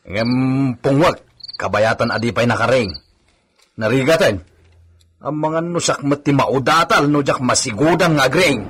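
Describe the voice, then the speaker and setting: A man's voice: mid-range voice, moderate pace, a bit bright, natural voice. An actor with an Ilocano Filipino accent playing a deferential, hesitant henchman in a comedy radio drama. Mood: nervous. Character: servile, nervous henchman of a rich don. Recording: noisy track with clicks, insect chirps.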